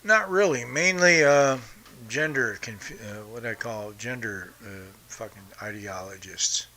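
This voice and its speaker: A man's voice: mid-range voice, a little slow, sharp tone, slight background noise. Profane, combative American man ranting. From United States. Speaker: podcast host. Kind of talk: profane political rant. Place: home in Brazil.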